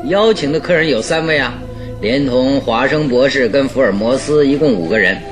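An actor playing a shrewd, self-assured gentleman detective in a radio drama, with a theatrical manner. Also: mid-range voice, normal speed, music in the background, lightly acted. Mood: gleeful. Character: shrewd, jovial gentleman detective, calm and in control. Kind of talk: radio drama acting in Mandarin.

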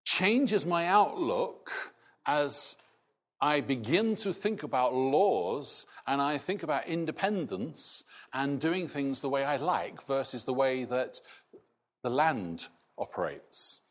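A middle-aged man raised in Scotland, with a softened Scottish accent, preaching a sermon in a slow, halting way with many restarts. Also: medium pitch, very animated. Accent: Scottish, largely softened. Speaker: pastor.

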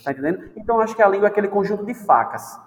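Man, high voice, animated, playful teacher chatting, long room reverb. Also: rapid-fire. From Brazil. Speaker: podcast guest, language teacher. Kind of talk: casual podcast chat. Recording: long reverb, faint background noise.

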